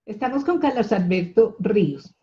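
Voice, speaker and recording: A woman's voice: medium pitch, lively intonation, normal speed, mellow. A woman, speaking as a host introducing a guest. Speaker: host, interviewer. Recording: dull, muffled lo-fi audio.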